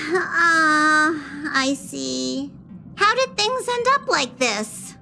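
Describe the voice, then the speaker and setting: A woman's voice: very high voice, expressive, drawn-out words, creaky, bright, forceful tone, faint music behind, exaggerated voice. A woman voicing a small, bossy, fretful train conductor creature in a video game, with a scolding manner. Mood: dismayed.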